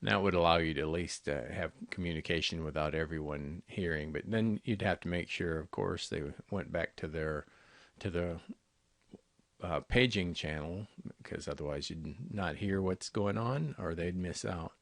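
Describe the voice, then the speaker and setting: A man giving a conversational, somewhat halting, unscripted product walkthrough as a salesperson. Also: sits low, no room sound. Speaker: intercom company salesperson presenting products. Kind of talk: unscripted product walkthrough over screen recording.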